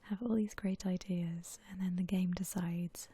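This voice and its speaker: A woman whispers her way through a game in ASMR style. Her voice is very breathy and almost unvoiced, soft and mellow in tone. She takes her time, relaxed and gentle, with slow phrasing. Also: on the low side. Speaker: video game streamer narrating her building session.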